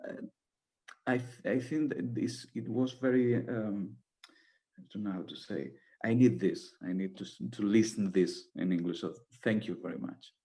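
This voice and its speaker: A man's voice: lowish voice, normal speed, dark tone. A Spanish-accented man, a playwright and native Spanish speaker, hesitantly searching for words in a Q&A.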